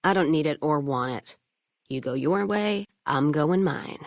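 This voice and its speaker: A woman's voice: low-pitched, unhurried, no echo, clean studio sound. A woman playing a tough, hard-drinking, world-weary caravan owner in a video game, blunt, sardonic and foul-mouthed. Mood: angry, scornful. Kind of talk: video game character dialogue.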